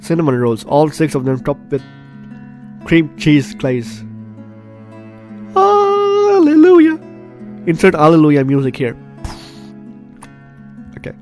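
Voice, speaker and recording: A man's voice: a little high, huge pitch swings, takes it slowly, pauses often, a little mellow. A man hosting a game walkthrough, reading the on-screen story aloud and reacting in an exclamatory way. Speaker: gaming host. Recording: game music behind commentary. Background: game music.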